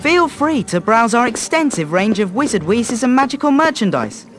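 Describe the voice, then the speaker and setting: A man's voice: very high voice, very animated, moderate pace, fairly bright tone, soft background music, full character voice. A man voicing a cheeky teenage wizard who runs a joke shop in a fantasy game, with mock-grand sales patter. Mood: playful, selling. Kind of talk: British fantasy game acting.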